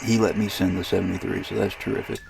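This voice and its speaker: Low, gravelly man demonstrating software. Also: little pitch movement, quick pace, faint music behind. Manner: hesitant, rambling, thinking aloud. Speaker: amateur radio operator demonstrating software. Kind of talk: casual screen demonstration narration.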